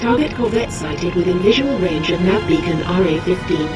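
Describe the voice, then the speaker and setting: A woman voicing a calm female military ship AI, precise, formal and detached, in measured status announcements for a game. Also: fairly low pitch, natural voice. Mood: clinical, composed. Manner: clinical, formal. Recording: loud music, distant processed system voice.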